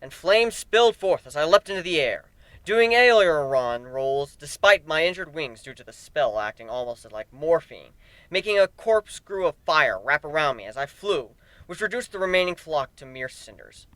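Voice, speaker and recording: A man's voice: fairly high pitch, very animated, moderate pace, fairly bright tone, no room sound. A man narrating a first-person story dramatically, as an amateur reader.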